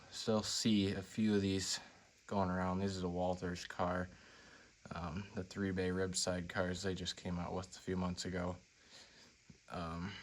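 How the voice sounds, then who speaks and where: An American man hosting a hobby video in casual, unscripted talk, with a slightly raspy voice and a low-key, plain-spoken manner. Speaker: model railroad video host.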